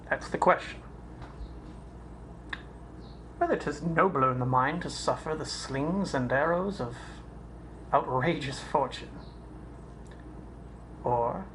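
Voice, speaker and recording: A performer playing a brooding Shakespearean prince in a theatrical soliloquy, deliberate and pausing, in a home recording. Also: mid-range voice, a bit bright. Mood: brooding, questioning. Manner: deliberate, pausing, dropping to whispers. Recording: noisy amateur home recording.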